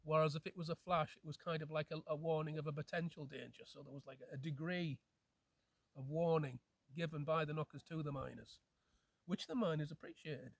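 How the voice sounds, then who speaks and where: A man's voice: a little high, a little fast, vocal fry, no room sound. A man telling local folklore in a conversational way, feeling for his phrasing and checking for agreement. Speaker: storyteller, host.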